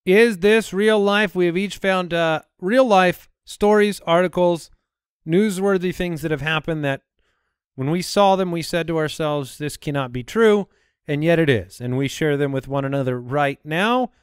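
A man's voice: a little high, moderate pace, clean tone, no echo. An American man, perhaps in his thirties, hosting a casual comedy podcast on a close studio microphone. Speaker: podcast host. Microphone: close studio microphone on boom arm.

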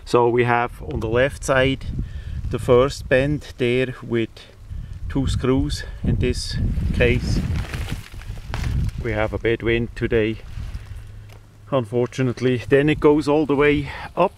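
Man, non-native English, very slow halting delivery, casual how-to talk, outdoor camera audio, slight background noise. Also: mid-range voice, steady tone. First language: not English. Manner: halting, pauses often mid-phrase. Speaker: presenter of a DIY radio antenna video. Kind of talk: casual hands-on demonstration talk. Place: outdoors in windy weather.

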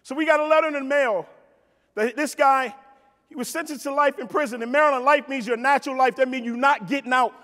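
A man's voice: extremely high pitch, fairly even intonation, brisk, sharp tone. A middle-aged American man giving a motivational keynote, telling stories emphatically, repeating phrases and addressing his listeners directly. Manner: emphatic, with repetition for effect and direct address to the audience. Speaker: keynote speaker. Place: on stage in a large convention hall. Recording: reverberant large hall PA sound.

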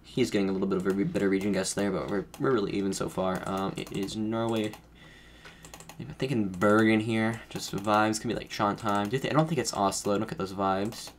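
A deep-voiced man talks through his game at a desk mic, thinking aloud and stumbling over restarts as he reasons. He sounds a little under the weather, and faint keyboard typing and a low room hiss sit behind him. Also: steady tone, brisk. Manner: rambling, self-correcting, thinking aloud while guessing. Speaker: gaming streamer. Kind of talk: casual play-by-play commentary.

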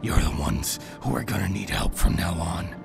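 An actor playing a bitter, menacing fallen district attorney in a radio noir drama, gravelly and whispery. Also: male voice, deep voice, expressive, moderate pace, full character voice. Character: scarred, bitter, menacing former district attorney turned killer. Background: music.

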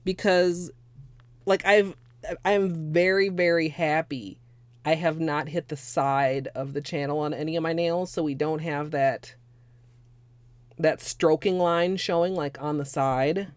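A hoarse young woman chatting casually through a nail art tutorial at her desk at home, rambling with lots of fillers. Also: deep voice, steady tone, a little slow, no echo. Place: small home room with space heater.

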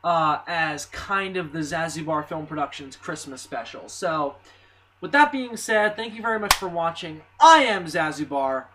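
A hoarse young man with a slight cold, talking casually and excitedly about a movie trailer, with lots of ums and restarts. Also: on the high side, moderate pace, slight background noise. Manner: excitable, rambling, swearing, many ums and restarts. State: hoarse, a little sick. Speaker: movie news vlogger. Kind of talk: casual spoken movie commentary.

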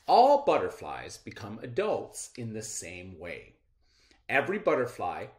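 A middle-aged man reading aloud and explaining simply to children as a schoolteacher, with deliberate enunciation. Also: medium pitch. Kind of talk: children's read-aloud lesson.

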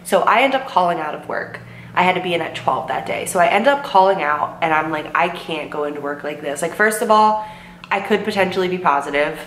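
A woman's voice: fairly low pitch, animated, a little fast, a bit bright. A sick young woman in her twenties, voice worn and her throat sore, telling a rambling story with lots of "like" and "um" to a camera in her bedroom. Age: 20s. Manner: chatty, lots of "like" and "um", quotes herself. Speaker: vlogger. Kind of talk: casual storytime vlog. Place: bedroom with bookshelves, indoors. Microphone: camera in front at desk distance. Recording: roomy with faint hum.

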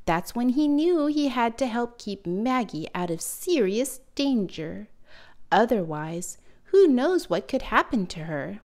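A woman narrating a picture book for young children with deliberate, dramatic emphasis. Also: fairly high pitch, big pitch swings, darkish tone. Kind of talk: expressive read-aloud for young children.

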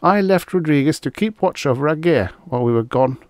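A frightened young army botanist , acted out by a male audiobook reader, reports in stiff military language. His voice is raised well above the reader's own, nervous and halting, in a dry, close sound. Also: fairly high pitch, expressive, clear, resonant tone, no room sound, pitched above the performer's own voice, natural voice. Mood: tense, formal.

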